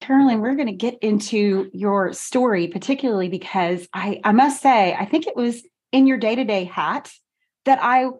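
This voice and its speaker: A woman's voice: medium pitch, normal speed, a little mellow. A woman hosting an interview in a conversational way, full of praise for her guest. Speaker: interview host.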